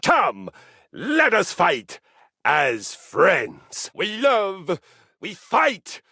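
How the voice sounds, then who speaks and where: An actor playing a boastful, brutal Viking-like fitness object in a video game, grandiose and formal, with a gravelly, bellowing voice. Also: male voice, high-pitched, wildly swooping pitch, very slow, sharp tone, no room sound, exaggerated voice. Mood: bellowing warlike. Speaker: dating game character. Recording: dry studio recording.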